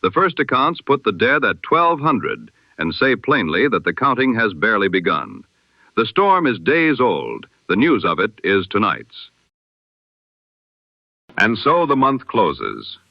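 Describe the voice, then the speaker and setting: A man reading the day's news as a formal, measured 1920s radio bulletin. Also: fairly low pitch, no echo. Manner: formal, measured, rhetorical delivery. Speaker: newsreader. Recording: dull lo-fi radio-style sound.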